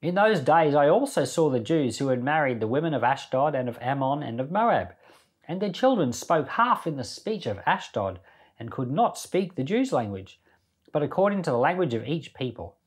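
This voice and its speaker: A man's voice: fairly high pitch, sing-song, normal speed, breathy edge. A man hosting a Bible podcast, reading scripture expressively and with emphasis, then commenting on it informally. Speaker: Bible podcast host and reader.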